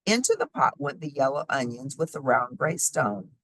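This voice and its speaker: A woman reading a children's story aloud expressively, with sing-song repetition and deliberate emphasis. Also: a bass voice, big pitch swings, slightly rough, no echo, rough recording. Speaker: storybook reader.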